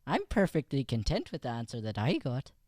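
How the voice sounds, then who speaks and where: A man's voice: medium pitch, wildly swooping pitch, brisk, a little mellow, no echo, voice pushed down. A man playing a cheeky Irish schoolboy who teases his pal and dodges blame, in an improvised radio comedy, with an Irish accent. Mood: teasing. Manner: teasing, wriggling out of blame. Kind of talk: improvised old-time radio comedy play.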